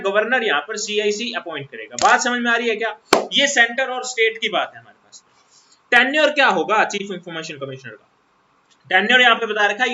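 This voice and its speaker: Male teacher, exam-prep lecture; high, very bright voice, explains patiently through repeated questions. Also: dull audio. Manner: explanatory, repetitive, rhetorical questions to students.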